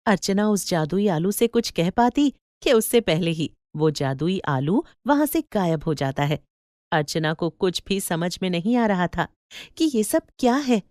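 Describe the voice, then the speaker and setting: A woman's voice: fairly low pitch, a little mellow, no room sound, studio quality. A woman narrating a scripted cartoon fairy tale as a storyteller.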